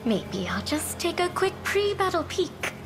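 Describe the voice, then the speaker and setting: High-voiced haughty young noblewoman. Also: big pitch swings, a little slow, heavily acted. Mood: eager, cocky. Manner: theatrical, imperious, coquettish. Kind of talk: video game cutscene acting. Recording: loud game music behind. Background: game music.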